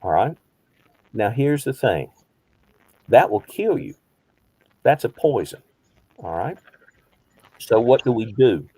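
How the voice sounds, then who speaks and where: Mellow-voiced man in his fifties. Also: mid-range voice, very animated, slow, long pauses, slightly rough, no echo, poor audio. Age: 50s. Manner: asks rhetorical questions, repeats phrases for emphasis. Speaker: behavioral health counselor. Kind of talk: conversational group teaching.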